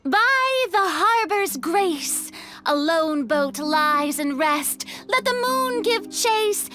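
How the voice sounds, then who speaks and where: A woman's voice: very high-pitched, bright, forceful tone, heavily acted. An actress playing an earnest, theatrical young woman in an English-dubbed anime game, with a girlish, sometimes hesitant delivery. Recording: music behind the voice.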